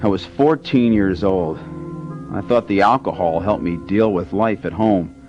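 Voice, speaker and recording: A man in a radio drama narrating his own story in a calm, measured way. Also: deep voice. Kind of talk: scripted first-person narration. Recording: lo-fi radio with music under.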